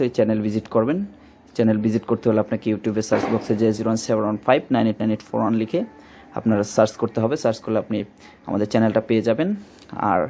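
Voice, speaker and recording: Fast-talking Bangladeshi man pitching products. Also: mellow. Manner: repetitive, searching for words with filler placeholders. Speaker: shop presenter demonstrating a product. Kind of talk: informal product demonstration and sales pitch. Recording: faint background noise.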